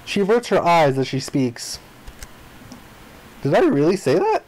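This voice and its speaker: Expressive man reading a story aloud. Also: fairly high pitch, a little slow, rough recording. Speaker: playthrough narrator reading a visual novel aloud. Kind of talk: expressive read-aloud of story narration and dialogue.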